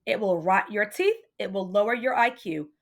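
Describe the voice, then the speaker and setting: A woman's voice: mid-range voice, moderate pace, creaky. Woman playing an exasperated, scolding father. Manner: stern, emphatic, easily startled. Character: exasperated, scolding father shopping with his children.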